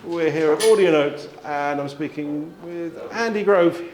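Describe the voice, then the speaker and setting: A man with a somewhat high voice conducts a casual on-location interview. The room is clearly echoey, and crowd chatter is audible behind him. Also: measured pace. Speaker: audio magazine interviewer.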